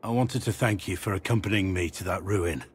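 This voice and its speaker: An actor voicing a brooding, guilt-ridden middle-aged warrior in a video game, speaking gravely and formally with halting earnestness. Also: male voice, sits low, slight background noise, lightly acted. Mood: anguished, conflicted. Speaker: video game companion character. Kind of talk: dramatic video game dialogue.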